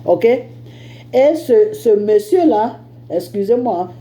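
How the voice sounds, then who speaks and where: A woman's voice: mid-range voice, expressive, takes it slowly, mellow. A woman with an Ivorian accent and a head cold, giving an informal political monologue as a storyteller who punctuates it with rhetorical questions and check-ins. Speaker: online political commentator.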